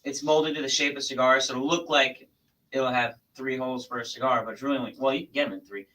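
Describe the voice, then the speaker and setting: A man joins a casual conversation with a bright, forceful voice, explaining things plainly and dropping dry, offhand quips. He sits back from the mic. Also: medium pitch, fairly even intonation, quick pace, recorded from a distance, rough recording. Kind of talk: casual chat.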